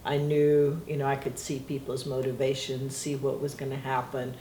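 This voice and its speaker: A woman's voice: extremely low pitch, monotone, moderate pace, dark tone. Middle-aged woman, first language Portuguese, rambling and reflective, recorded in an echoey room with faint hiss. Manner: rambling, reflective, laughs often. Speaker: interviewee. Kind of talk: casual reminiscing interview.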